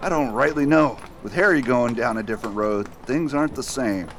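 An actor playing a steady, determined male US marshal in a Western radio drama, commanding and earnest. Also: medium pitch, a little fast, a little hiss or hum, close to an everyday voice. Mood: warm. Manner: commanding in action, earnest and friendly at rest. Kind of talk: amateur radio drama acting.

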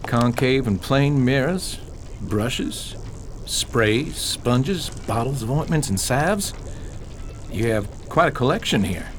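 Man as a courteous, animated radio-drama detective. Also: medium pitch, slow, noisy background. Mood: impressed, probing. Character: courteous, observant male private investigator.

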